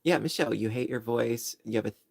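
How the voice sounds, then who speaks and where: A man's voice: medium pitch, quick pace, creaky, slightly rough, no echo, studio quality. A man from New York with a New York accent, rambling and joking at his own expense while chatting casually on a livestream. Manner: rambling, self-deprecating, joking. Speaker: livestream host.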